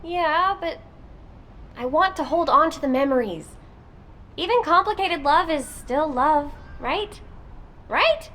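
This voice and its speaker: A woman's voice: high voice, animated, slow pace, long pauses, fairly bright tone, exaggerated voice. A woman playing a bubbly, impulsive and sentimental young sci-fi soldier in a video game, chirpy and playful. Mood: pleading.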